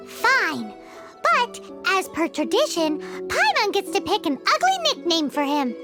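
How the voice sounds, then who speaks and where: A tiny, cheeky fairy sidekick in a game, extremely high and squeaky, blunt and excitable. The delivery swings animatedly up and down at an unhurried pace, with loud music behind it. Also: female voice, full character voice. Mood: annoyed. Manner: refers to self in third person, exclamatory. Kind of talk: cartoonish video game acting.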